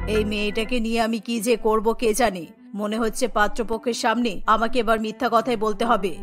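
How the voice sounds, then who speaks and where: Woman as an exasperated cartoon village mother, high voice, scolding, insistent, music behind. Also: flat delivery, normal speed, a bit bright, close to an everyday voice. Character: exasperated, nagging village mother of grown daughter. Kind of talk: Bengali cartoon voice acting.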